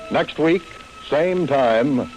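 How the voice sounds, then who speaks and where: A man works as a 1940s radio announcer. His delivery is animated in pitch. Loud music plays behind him on the vintage broadcast recording. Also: drawn-out words, low-quality recording.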